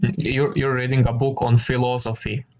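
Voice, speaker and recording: Calm confident man from Croatia. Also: mid-range voice, highs cut off. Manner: calm, confident, quick with data and sarcasm. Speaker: debating video chat host. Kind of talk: casual debate on video chat.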